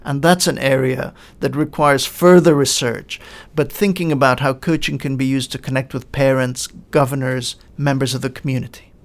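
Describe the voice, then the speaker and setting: Gravelly-voiced man explaining at length. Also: mid-range voice, steady tone. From Lebanon. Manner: measured, explanatory, with frequent uh fillers. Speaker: guest, coaching in education expert. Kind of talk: podcast interview answers.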